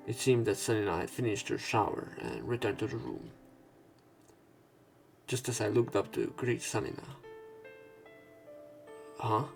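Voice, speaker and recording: A man's voice: fairly low pitch, very animated, unhurried, creaky, long pauses. A man reading visual novel text aloud as a let's play narrator, with casual asides. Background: visual novel game music and sound effects.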